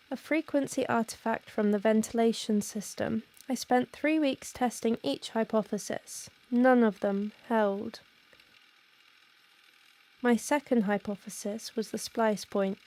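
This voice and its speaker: A woman's voice: on the high side, steady tone, a little slow, darkish tone. A woman playing a rational researcher in a horror audio drama, giving recorded testimony in formal, careful, clinical language. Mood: controlled, uneasy. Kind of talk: scripted found-audio horror monologue.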